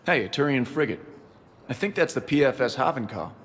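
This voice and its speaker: A quiet, grieving pilot. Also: male voice, mid-range voice, animated, a little fast. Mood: reflective. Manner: quiet, reflective. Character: grieving male shuttle pilot, quiet and reflective. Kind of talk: video game voice acting.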